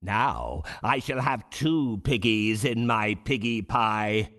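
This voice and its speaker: A man's voice: lowish voice, expressive, fairly bright tone. A man voicing a greedy, menacing big bad wolf in a children's storybook game, growling and drawing out his words. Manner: growling, slow and drawn-out.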